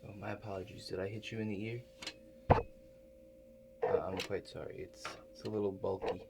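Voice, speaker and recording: Male ASMR roleplayer, lowish voice, hesitant and polite, speaking straight to the listener over a prominent steady hum. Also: brisk. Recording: loud steady hum behind the voice.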